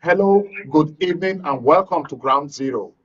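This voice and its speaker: A man's voice: a little high, expressive, normal speed, slightly rough. Nigerian male radio host, distant dull sound. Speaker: radio talk show host. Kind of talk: live phone-in hosting.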